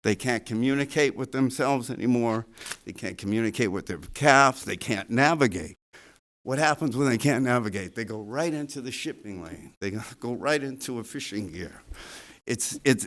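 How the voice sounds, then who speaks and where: A man giving an impassioned public comment at a town board meeting, halting with many fillers. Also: mid-range voice.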